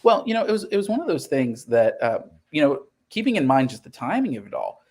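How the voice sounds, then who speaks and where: A man's voice: a little high, big pitch swings, a little fast, darkish tone, husky. Earnest man telling his story in an interview. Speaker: interview guest, comic book writer.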